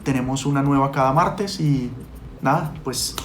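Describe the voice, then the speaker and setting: A man answering interview questions conversationally as a podcast producer, with hesitant, halting phrasing. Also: mid-range voice, background noise.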